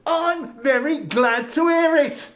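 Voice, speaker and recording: A man's voice: very high-pitched, slow pace, fairly bright tone, full character voice. A man playing a cold, miserly old businessman, curt and sarcastic, in a dramatic audiobook of a Victorian ghost story. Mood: coldly sardonic.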